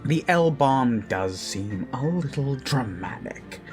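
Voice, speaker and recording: A man performs a creepy, lovesick male captor in an intimate ASMR drama. He speaks slowly and haltingly, with wide swings from hushed murmurs to pointed emphasis, menacing and adoring at once. Music plays under the voice. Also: medium pitch, expressive. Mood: adoring, gushing. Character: obsessive male yandere kidnapper, gushing, menacing, self-abasing zealot. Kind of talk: intimate ASMR roleplay monologue. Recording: background music under close voice.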